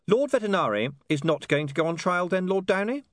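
A man's voice: high voice, animated, brisk, dry recording, clean studio sound, voice pushed up. A man voicing a young newspaperman in an audiobook, polite and persistent, with a sweetly cheeky, slightly haughty manner. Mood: sweetly probing.

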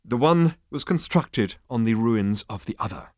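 A man's voice: medium pitch, animated, moderate pace, a little mellow, no room sound, lightly acted. Long-suffering little alien waiter for storybook reading, man acting: stiffly polite, hesitant, persistent, dry close sound. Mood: patiently insistent. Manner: formal, deferential, hesitant, persistent.

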